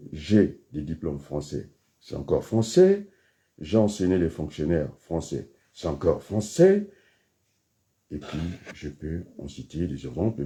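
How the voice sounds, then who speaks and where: A man giving a rambling political monologue, hesitant with many fillers, then bursting into emphatic high outbursts. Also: dark tone. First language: French. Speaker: political commentator.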